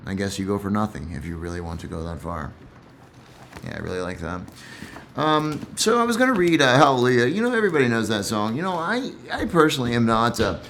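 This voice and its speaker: A man's voice: mid-range voice, fairly bright tone, slight background noise. A man who reads and comments on poetry in a casual, reflective way, somewhat hesitant and repeating himself.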